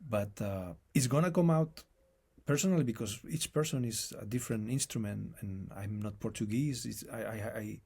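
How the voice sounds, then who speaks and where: Gravelly low-voiced man with a foreign accent. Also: darkish tone. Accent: non-native English accent. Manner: reflective, halting, restarts phrases often. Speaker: guest musician. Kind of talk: casual conversation.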